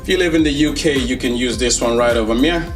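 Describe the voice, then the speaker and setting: A man's voice: mid-range voice, fast, over music. A man giving casual, enthusiastic unboxing commentary as a tech reviewer, in a playful, slangy, joking manner. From Netherlands. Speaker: tech reviewer, video host.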